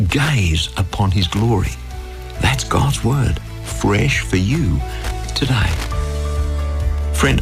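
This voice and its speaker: A man's voice: mid-range voice, poor audio. A man hosting a scripted radio devotional in an earnest way, often putting rhetorical questions to the listener. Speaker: devotional program host.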